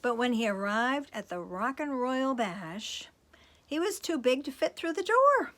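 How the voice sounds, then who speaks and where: A woman's voice: high-pitched, big pitch swings, unhurried, a bit bright. A woman reading a children's picture book aloud, playful and chuckling, with asides to her listeners. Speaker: storytime reader, teacher.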